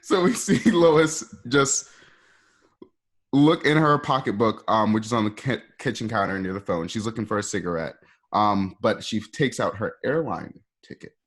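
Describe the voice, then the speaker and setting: A man's voice: lowish voice, moderate pace. A man narrating scene directions for an amateur script read, informal and conversational. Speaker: narrator reading stage directions.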